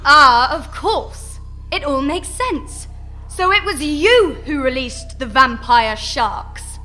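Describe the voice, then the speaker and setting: A woman playing a sardonic bright-voiced heroine. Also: sits high, lively intonation, slow, faint music behind, full character voice. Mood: mocking. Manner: dry, mocking, sarcastic. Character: sardonic adventurous young heroine, unimpressed. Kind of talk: radio play acting.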